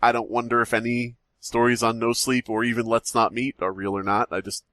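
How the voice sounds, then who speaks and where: A man co-hosting a podcast, reading a story aloud and chatting in a relaxed, teasing way. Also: mid-range voice, brisk, dry recording. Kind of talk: casual chat and story reading. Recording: dry close sound.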